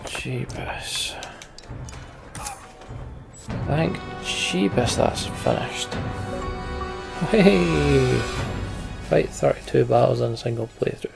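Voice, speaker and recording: A man's voice: medium pitch, animated, drawn-out words, gravelly, long pauses. A man giving casual, muttering, mildly sweary commentary as he plays a video game. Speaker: let's player. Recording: game music behind the voice. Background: game music.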